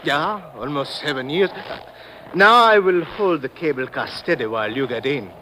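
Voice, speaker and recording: An actor playing a menacing ex-soldier Alpine killer with a German accent, stiff and precise, in 1950s radio drama style. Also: male voice, fairly high pitch, lively intonation, normal speed, bright, forceful tone. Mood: matter-of-fact. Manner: stiff, precise, formal diction. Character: menacing Alpine cable car operator, ex-soldier turned hired killer. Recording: noisy old radio recording with vehicle ambience.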